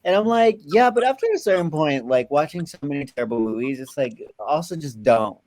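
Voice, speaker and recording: A man's voice: on the high side, expressive, a little fast, darkish tone, dry recording. A man in a casual film discussion, rambling and repeating words as he thinks aloud. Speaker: film review panelist.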